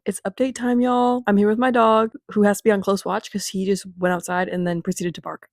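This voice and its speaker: A woman's voice: medium pitch, level tone, faint music behind. A young woman with a congested voice from a cold, chatting casually and rambling as she recaps a book at home. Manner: fast, bubbly and rambling. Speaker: book vlogger. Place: at home in front of a bookshelf.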